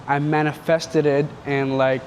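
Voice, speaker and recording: A man's voice: a little high, flat delivery, a little slow, slight background noise. Rambling, slangy young man. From Canada. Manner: rambling and slangy, full of filler words. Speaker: entrepreneur telling his story. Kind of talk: casual storytelling interview.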